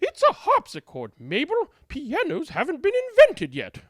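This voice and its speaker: Woman acting exasperated, high-pitched storybook maid. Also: huge pitch swings, a little slow, no echo, clean studio sound, exaggerated voice. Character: grumbling, exasperated maids hauling a heavy instrument.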